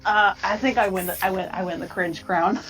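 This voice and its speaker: A woman's voice: mid-range voice, fairly even intonation, fairly quick, low-quality recording. A young adult woman chatting casually and jokingly as a reactor. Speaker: reactor on a group reaction show. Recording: group commentary over background music.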